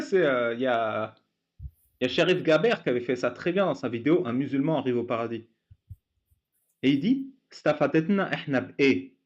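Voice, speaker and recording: A man hosting a casual talk, sarcastic and laughing often. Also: a little high. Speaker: host of a debate channel. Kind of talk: casual mocking chat. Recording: dull lo-fi sound.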